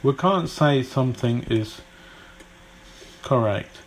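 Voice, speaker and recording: A man's voice: medium pitch, lively intonation, takes it slowly, a little hiss or hum, low-quality recording. A man from Britain whose British English accent carries some Polish influence, teaching an informal English lesson in a rambling, hesitant way with many fillers and restarts.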